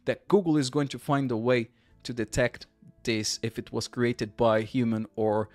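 A man in his thirties with a Portuguese accent talks viewers through a tutorial at an ordinary, mid-range pitch. He is chatty and loose, repeating words and restarting phrases as he goes. The sound is close and dry, recorded at a desk mic in a small room. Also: fairly even intonation, moderate pace, a little mellow, no room sound, soft background music. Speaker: tech tutorial host. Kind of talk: screen-share tutorial walkthrough.

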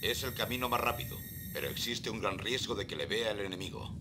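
A man's voice: lowish voice, brisk, natural voice. An actor playing a formal male commander who briefs a soldier over the radio in dubbed game dialogue. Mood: briefing. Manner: formal, addresses with usted. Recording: noisy game audio.

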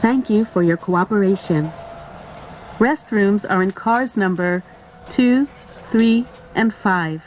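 A woman's voice: medium pitch, extremely slow, darkish tone. A woman reading a scripted train announcement in a formal, carefully phrased way. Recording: in-car announcement with vehicle noise. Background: train running noise.

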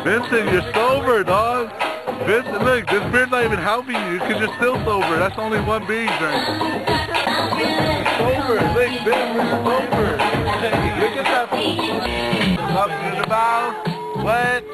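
A drunk young man with a Southern California accent, filming at a night party and teasing friends in loud slangy banter and half-rapped freestyle. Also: very high-pitched, measured pace, poor audio. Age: young adult. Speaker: party cameraman. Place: outdoor night house party. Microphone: handheld camcorder held close. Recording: loud party with hip hop music and crowd.

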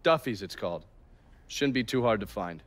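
A man's voice: lowish voice, expressive, normal speed, close to an everyday voice. A man playing a wry, foul-mouthed intelligence man in a video game, telling things in a sardonic storytelling way. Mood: wry, amused. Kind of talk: video game cutscene acting.

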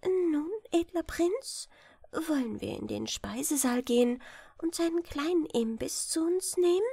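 Storybook princess, played by a woman reader: high-pitched, gentle, courteous, unhurried delivery. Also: expressive, creaky, no echo, heavily acted. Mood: gracious. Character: gentle, gracious young fairytale princess, politely earnest.

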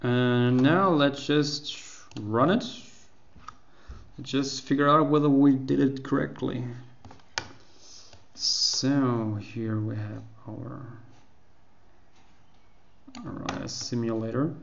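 A man walking through a coding tutorial over a screen recording, unhurried and full of fillers and restarts. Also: medium pitch, fairly even intonation, vocal fry, dull audio. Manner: unhurried, explaining step by step with many fillers and restarts. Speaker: programming tutorial host.

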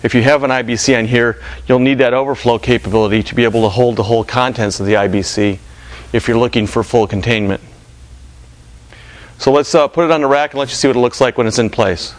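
A middle-aged man presenting a company product in an informal demonstration, explaining haltingly with frequent pauses. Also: medium pitch, fairly even intonation. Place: warehouse with metal walls and racking.

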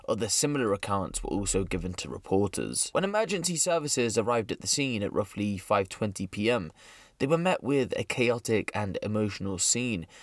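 A man's voice: on the low side, no echo, studio quality. A man narrating a scripted true crime story in a serious storytelling manner.

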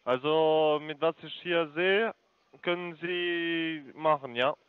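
A man with a foreign accent, speaking German as a call center agent on the phone, halting with many fillers and broken grammar. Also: a little high, fairly even intonation, smooth, no echo, narrow, tinny audio.

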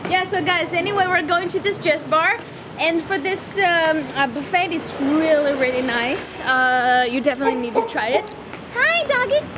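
Young woman, high voice, chatty, excitable, handheld camera, loud street noise. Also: normal speed. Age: young adult. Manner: chatty and excitable, laughs often. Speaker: travel vlogger. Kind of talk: casual vlog chat to camera. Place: busy outdoor food market and night street. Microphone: handheld camera at arm's length.

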